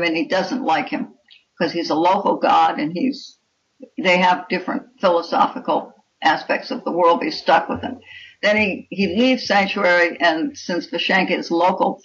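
A woman's voice comes through slightly dull, lo-fi audio. She speaks as an author being interviewed, explaining at length in a learned, discursive way. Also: on the low side. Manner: erudite, explanatory. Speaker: author, podcast guest.

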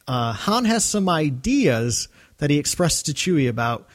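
A man's voice: fairly high pitch, sing-song, measured pace. A man co-hosting a podcast in casual fan discussion, enthusiastic and rambling. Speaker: podcast co-host.

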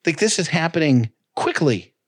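A man co-hosting a podcast with his wife, talking in an animated, rambling, self-mocking way. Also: fairly high pitch, normal speed. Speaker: podcast co-host. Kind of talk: casual chat.

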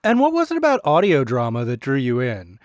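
A man's voice: mid-range voice, huge pitch swings, fairly quick, dry recording, clean studio sound. A man hosting a podcast, introducing guests and asking questions in a friendly, structured interview style.

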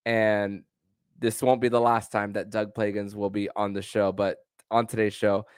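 A man hosting a sports podcast and interviewing, with somewhat halting, stop-start phrasing. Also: fairly low pitch, flat delivery, clear, resonant tone, no echo, studio quality. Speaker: podcast host.

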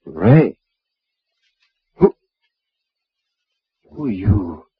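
An actor playing a trapped, defiant but rattled private investigator in a 1950s radio drama, halting and agitated. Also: male voice, lowish voice, sing-song, slightly rough, dry recording, exaggerated voice. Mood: dazed, alarmed. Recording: muffled vintage radio recording.

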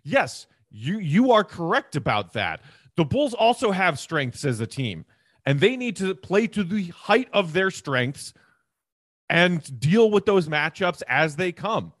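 A man with a slightly high voice hosts conversational sports talk. He lays out his argument point by point and leans on key phrases, in a very dry recording. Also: sing-song, a little slow, a bit bright, no room sound. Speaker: sports podcast host.

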